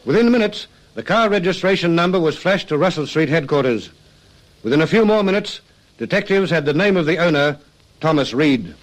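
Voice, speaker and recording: A man's voice: on the high side, expressive, a little fast, rough recording. A man narrating a scripted radio crime drama.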